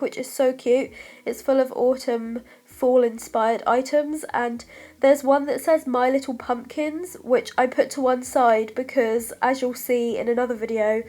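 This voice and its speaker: A woman's voice: fairly high pitch, a little mellow. A young British woman with a stuffy, congested voice from a cold, chatting through a scrapbooking voice-over, a little short of breath and apologetic. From United Kingdom. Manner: chatty, apologetic, lots of ums. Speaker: craft vlogger.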